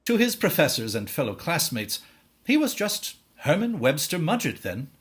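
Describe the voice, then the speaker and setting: A man's voice: a little high, big pitch swings, moderate pace. A man playing a storyteller, delivering scripted theatrical narration for a stage drama. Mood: dramatic. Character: male storyteller introducing a notorious killer.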